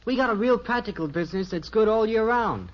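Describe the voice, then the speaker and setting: A man's voice: high voice, expressive, brisk. A young male actor playing a naive, eager American boy in a 1950s radio sitcom. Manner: earnest, naive. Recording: muffled, noisy 1950s radio recording.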